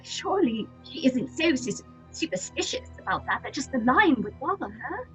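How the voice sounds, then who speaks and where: A woman's voice: high voice, big pitch swings, normal speed, faint music behind, rough recording. A woman playing an anxious, inquisitive troupe actress in an audio horror drama, theatrical and questioning. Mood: puzzled, probing.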